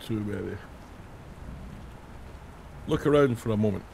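A raspy, gravelly man in his thirties talks through a game on a headset at home, reading questions aloud and cracking jokes between answers. His voice is a little low and worn hoarse, with a steady noisy hiss behind it. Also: measured pace. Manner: reads on-screen questions aloud and answers them, jokey. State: hoarse from days of singing. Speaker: gaming channel host. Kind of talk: casual let's-play commentary. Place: home room, indoors.

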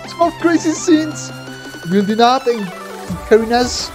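A man's voice: extremely high pitch, animated, slow. A man giving casual, exclamatory, slangy reaction commentary as a musician watching game trailers. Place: home room with instruments. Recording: game music playing behind the voice.

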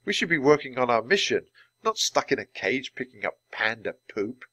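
A man's voice: mid-range voice, very animated, moderate pace, bright, forceful tone, no echo, pitched above the performer's own voice. A man doing an earnest, bookish young boy.

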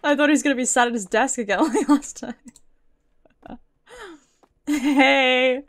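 A woman's voice: high voice, a little slow. A British woman giving casual, playful, sweary live commentary as she streams a video game. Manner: playful, sweary, chatting to viewers.

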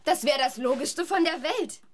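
An actress playing a bright, determined, quick-thinking young girl in a children's audio drama. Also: high-pitched, lively intonation, a little hiss or hum. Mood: eager explaining.

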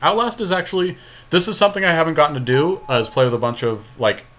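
A man's voice: a little high, animated, fast talker, a bit bright. A man giving casual game commentary as a co-host, thinking aloud in an analytical way. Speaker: podcast co-host playing a card game.

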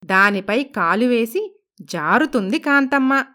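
A woman's voice: fairly high pitch, expressive, a little slow, sharp tone. A woman reading scripted narration for a moral story as its narrator.

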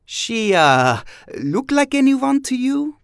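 A man's voice: extremely high pitch, big pitch swings, slow, creaky, smooth, dry recording, studio quality, exaggerated voice. An actor playing a friendly, teasing young male mutant in an audio drama. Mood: playful.

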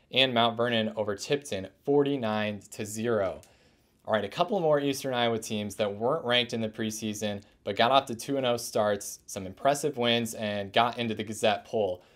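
A young man hosting a sports recap, reading out scores in a scripted news style. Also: lowish voice. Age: young adult. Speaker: sports show host. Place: small indoor room, plain wall.

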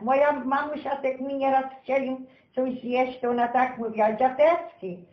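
Elderly woman, high-pitched and unhurried. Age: 70s or older. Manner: folksy, uses many diminutives. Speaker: storyteller recalling village childhood. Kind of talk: unscripted oral storytelling, reminiscence. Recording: roomy, slightly dull sound.

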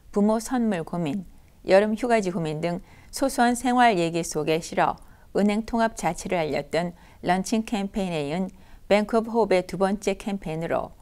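Smooth, clear-voiced woman reading news. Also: mid-range voice, darkish tone. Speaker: news anchor.

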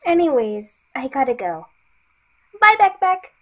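A woman playing a high, bouncy little girl. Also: big pitch swings, measured pace, a bit bright, exaggerated voice. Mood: cheeky. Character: eager, grateful, cheeky little girl. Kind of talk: audio drama acting. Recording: music under the voice.

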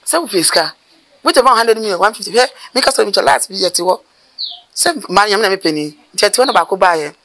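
Middle-aged Ghanaian woman, mortuary worker; rapid, animated storyteller, recorded outdoors on camera. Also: medium pitch, background noise. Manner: animated, gesturing with her hands, rapid bursts of speech. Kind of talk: storytelling interview. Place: outdoors by a building wall.

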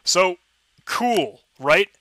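Bright-voiced young man on headset. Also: high voice, lively intonation, drawn-out words, no echo. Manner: chatty, enthusiastic, rambling with restarts. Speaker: tech tutorial host. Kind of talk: casual screen-recorded walkthrough.

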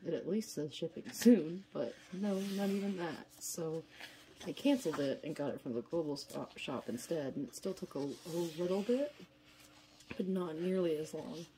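A woman's voice: low voice, expressive, moderate pace, background noise. A woman with a stuffy nose from a cold, chatting casually and a bit tiredly while unboxing at a table. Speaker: unboxing vlogger. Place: indoors at a table, filming hands from above.

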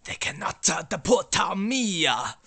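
High, hammy fake-Italian pizzeria owner. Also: male voice, sing-song, creaky, bright, forceful tone, slightly rough, exaggerated voice. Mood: insistent. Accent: exaggerated fake Italian. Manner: hammy, halting broken English with filler syllables. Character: shady, hot-tempered Italian pizzeria owner, a grown man. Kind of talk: comic character acting.

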